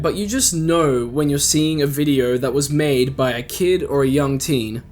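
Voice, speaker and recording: A man's voice: a little high, a little mellow. A man hosting a video channel, giving casual commentary in a conversational, wry way with frequent self-interrupting asides. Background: background music.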